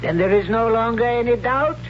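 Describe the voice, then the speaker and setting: An actor playing a stern, devout older high priest and father in a solemn, declamatory 1970s radio drama style. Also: fairly quick. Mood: commanding. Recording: dull, noisy 1970s radio recording.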